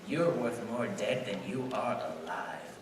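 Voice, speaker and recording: A man's voice: mid-range voice, a little slow, slight background noise, natural voice. Man acting a sneering banker villain, echoey stage. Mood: gloating, scornful. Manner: taunting, sneering. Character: gloating, cold-hearted older male banker villain. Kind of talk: live 1940s-style radio play acting. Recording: distant echoey theater pickup, loud music.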